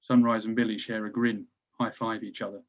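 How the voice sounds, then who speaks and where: A man's voice: lowish voice, little pitch movement, brisk, muffled recording. A man reading stage directions as scripted narration for a screenplay table read.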